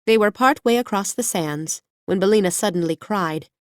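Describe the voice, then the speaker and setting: A woman narrating children's fiction as an audiobook, in a measured storytelling manner. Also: mid-range voice, dry recording, studio quality.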